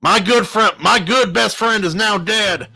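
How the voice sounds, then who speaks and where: A man voicing a hot-headed outlaw in a western story, coarse, stern and forceful. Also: high-pitched, racing, vocal fry, slightly rough, dry recording, faint music behind, voice pushed up, full character voice. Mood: stern accusing. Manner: coarse cowboy talk, shouting or stern.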